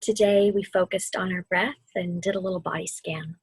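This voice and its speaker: A woman with a soft, breathy voice gently guiding children through a mindfulness meditation, soothing and deliberate. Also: on the low side, steady tone, dry recording. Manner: soothing, gentle, deliberate, often dropping to a near-whisper. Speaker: mindfulness instructor and host of a weekly video series.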